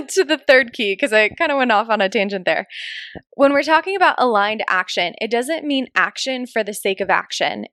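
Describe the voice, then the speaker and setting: A woman's voice: fairly high pitch, fairly bright tone, dry recording. Chatty woman podcaster, dry close sound. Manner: chatty, emphatic, informal. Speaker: podcast host, business coach. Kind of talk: casual solo podcast monologue.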